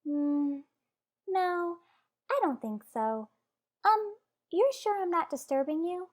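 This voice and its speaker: A woman playing a gentle, affectionate wife in an old-time radio soap, speaking tentatively with halting phrases. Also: very high voice, lively intonation, exaggerated voice. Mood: gentle, hesitant. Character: gentle, hesitant wife longing to adopt an older boy.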